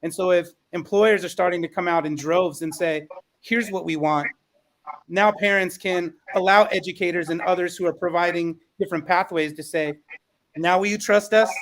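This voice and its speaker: A man's voice: high voice, moderate pace, a bit bright, a little hiss or hum, rough recording. A man speaking conversationally as a panelist, making his case in an articulate, persuasive way. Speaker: podcast panelist.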